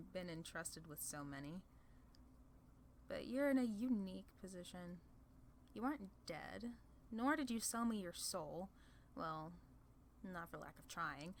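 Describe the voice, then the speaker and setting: A woman's voice: medium pitch, slight background noise. A woman playing a haughty, sly female demon lord in an ASMR roleplay, condescending and playfully theatrical.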